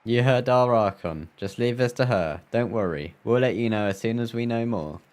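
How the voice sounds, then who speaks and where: A man's voice: mid-range voice, normal speed, a little mellow. A man streaming a video game, commenting and reading along casually. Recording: game music behind the voice.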